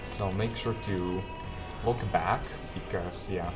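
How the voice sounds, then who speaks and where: A man giving an off-the-cuff video game walkthrough in a low-key, hesitant way, often trailing off. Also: steady tone, slow, over loud music. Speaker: gamer giving a guide.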